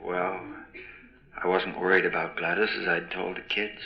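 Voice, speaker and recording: An actor playing a subdued, grieving widower giving halting, somber testimony in a 1940s radio drama. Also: male voice, low-pitched, little pitch movement, creaky. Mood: subdued grief. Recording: dull old radio recording.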